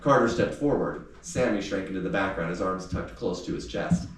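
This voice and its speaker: A man reading youth fiction aloud in an expressive, audiobook-like storytelling style. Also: low voice, normal speed. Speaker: author reading aloud from a novel. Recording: echoey room, distant mic, faint noise.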